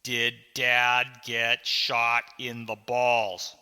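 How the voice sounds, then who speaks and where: A man's voice: mid-range voice, completely flat delivery, very slow, sharp tone, clear, resonant tone, long reverb tail, exaggerated voice. A man voicing an impatient sixth-grade boy, blunt and urgent, in an audiobook memoir. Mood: insistent.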